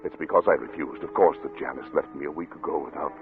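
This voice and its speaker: An obsessed scientist, tinny vintage radio. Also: male voice, very deep voice, fast. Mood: brooding, obsessed. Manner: theatrical, formal diction. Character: obsessed, paranoid male scientist, haunted and defiant. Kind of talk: 1940s radio acting. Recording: tinny old radio recording with music.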